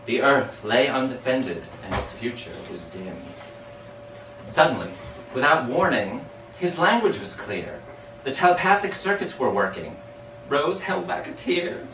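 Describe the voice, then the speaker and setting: A man reads storytelling verse aloud in a theatrical, sing-song manner, his pitch moving up and down dramatically. He sounds some way off from the microphone, with the echo of the room around his voice. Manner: expressive, dramatic, sing-song rhyming delivery. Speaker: amateur poet reading their own poem. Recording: echoey room, distant mic, background hiss.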